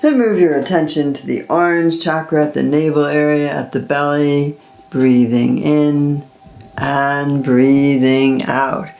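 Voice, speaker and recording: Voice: mid-range voice, steady tone, vocal fry, a little mellow. A meditation guide leading a chakra session, drawing out the breathing cues. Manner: slow, drawn-out breathing cues.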